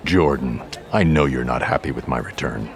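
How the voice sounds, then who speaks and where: A man's voice: rumbling low, moderate pace, slight background noise. A man playing a guarded, elderly billionaire in an audio drama, terse and dry in delivery.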